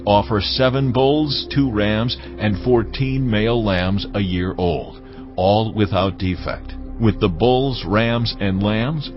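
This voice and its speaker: Male actor as grave lawgiver, lowish voice, measured formal delivery, audio Bible drama, muffled lo-fi sound, clear musical backing. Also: lightly acted. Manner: measured, formal, deliberate phrasing. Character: solemn male lawgiver voice dictating sacred ritual commands. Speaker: scripture reader. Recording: dull lo-fi audio, prominent music behind. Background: loud background music.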